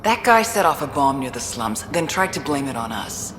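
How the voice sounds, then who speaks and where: A woman's voice: very deep voice, very animated, moderate pace, a bit bright, echoey room, big-room sound, a little hiss or hum, full character voice. An actress playing a blunt, sardonic female gang enforcer in a video game. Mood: contemptuous, hard. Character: hard-edged female gang enforcer running a prison.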